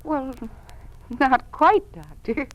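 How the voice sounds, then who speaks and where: An actress playing a naive, earnest new teacher in a 1950s radio drama, with an American accent and a hesitant manner. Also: female voice, high-pitched, big pitch swings, takes it slowly, heavily acted. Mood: confused, anxious. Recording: noisy vintage 1950s radio recording.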